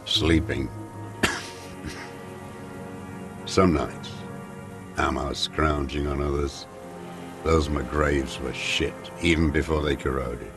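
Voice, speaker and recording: An actor playing a bitter, weary old communist deserter and former commissar in a video game, speaking in terse, brooding phrases with a smoker's rasp. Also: male voice, rumbling low, steady tone, slow pace, pauses often, heavily acted. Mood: grim, brooding. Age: older. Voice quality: gravelly, coughing smoker's voice. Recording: music under the voice.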